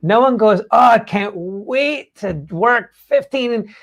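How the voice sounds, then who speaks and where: A man's voice: very high voice, takes it slowly, a bit bright. A man speaks in a loose, conversational way, like a podcast host giving motivational advice. His explanations ramble informally, sprinkled with filler phrases as he works toward each point. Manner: informal, rambling explanations peppered with "like" and "you know". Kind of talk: casual motivational talk.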